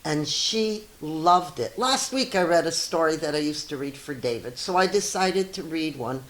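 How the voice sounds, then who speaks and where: A woman's voice: deep voice, animated, moderate pace. A middle-aged or older woman teacher reading a storybook to children, explaining things simply and addressing young listeners directly.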